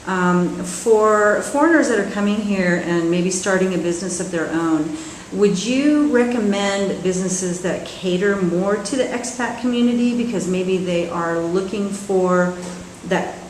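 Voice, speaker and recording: A woman's voice: mid-range voice, a little slow, slight background noise. A woman interviewer asking long questions hesitantly, with many fillers. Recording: echoey room, faint ambience.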